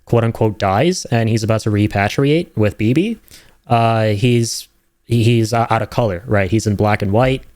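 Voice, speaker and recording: Excitable young man reacting to a trailer. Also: on the low side, moderate pace, dry recording, clean studio sound. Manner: enthusiastic, rambling, many fillers and "right?". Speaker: host reacting to game trailers. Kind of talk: casual reaction commentary.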